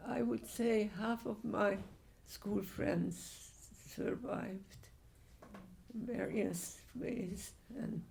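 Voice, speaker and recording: Gravelly-voiced elderly woman. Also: mid-range voice, mellow. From Hungary. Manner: slow, halting, with frequent restarts. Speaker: Holocaust survivor speaker. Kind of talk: answering audience questions.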